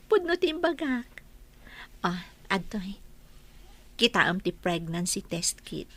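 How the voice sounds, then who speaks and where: A woman playing a gentle, forgiving young wife in a radio drama. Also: mid-range voice, sing-song, takes it slowly, heavily acted. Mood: gentle, happy.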